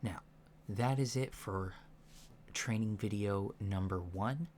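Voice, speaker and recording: A soft-spoken man in an ASMR roleplay, playing a calm alien instructor with a halting, deliberate, matter-of-fact delivery, in a lo-fi recording. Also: on the low side. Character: calm alien instructor briefing disguised recruits on humans. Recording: lo-fi recording with faint background noise.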